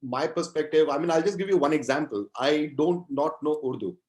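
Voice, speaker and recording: Halting, reflective man in his fifties. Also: a little high, a little mellow. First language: Gujarati. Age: 50s. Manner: halting, reflective, repeats phrases. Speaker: interview guest, poet and entrepreneur. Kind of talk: conversational interview answers.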